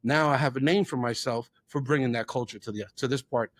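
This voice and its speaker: A man's voice: medium pitch, brisk, no echo, studio quality. A middle-aged man from the Bronx, New York, telling personal stories as an interview guest, emphatically repeating phrases for effect. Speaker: interview guest, chef and theater owner.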